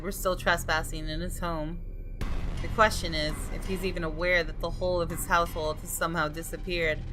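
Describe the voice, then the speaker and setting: A woman gaming YouTuber reading a visual novel aloud as dramatic narration. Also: lowish voice. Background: music.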